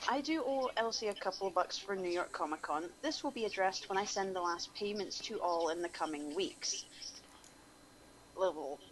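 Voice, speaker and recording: A woman's voice: mid-range voice, lively intonation, moderate pace. A woman on an online radio show giving a mocking dramatic reading, with sarcastic asides. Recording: noisy room ambience behind voice.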